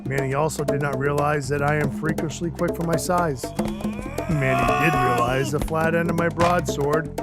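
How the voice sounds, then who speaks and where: Over clear music, a man plays a sardonic dwarf enforcer narrating an audio drama. His voice sits a little high, and he stays deadpan and mock-polite while telling his rough tale. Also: close to an everyday voice. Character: gruff, sardonic male dwarf enforcer for Santa. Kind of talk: first-person audio drama narration with dialogue. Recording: music behind the voice.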